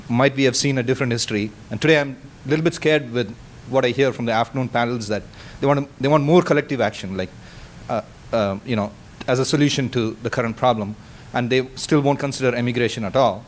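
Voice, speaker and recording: A man in a conference room asking a worried, searching question, with restarts. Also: medium pitch. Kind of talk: question from the floor.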